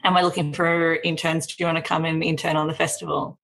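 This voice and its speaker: A woman with an Australian accent and a slightly low voice, chatting about her work in an easy, quick, self-deprecating way. She sounds like a guest in her thirties on a casual podcast interview. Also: little pitch movement, fairly bright tone. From regional New South Wales, Australia. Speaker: theatre director and festival director.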